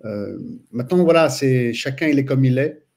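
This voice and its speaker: A man in his forties from France, a teacher telling his story as a guest in a relaxed interview, pausing often with fillers. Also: mid-range voice. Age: 40s. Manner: hesitant, frequent pauses and fillers. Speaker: guest, Islamic studies teacher. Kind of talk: casual interview chat.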